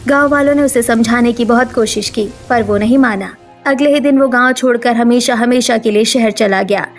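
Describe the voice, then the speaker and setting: A woman's voice: fairly high pitch, normal speed, faint music behind. A woman narrating a scripted story as a storyteller.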